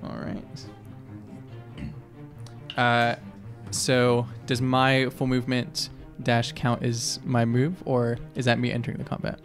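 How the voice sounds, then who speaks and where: Man, medium pitch, tabletop player, casual table talk, close mic, soft music underneath. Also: completely flat delivery, slow, long pauses. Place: indoor room, around a gaming table. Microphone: close podcast microphone on stand. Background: faint music.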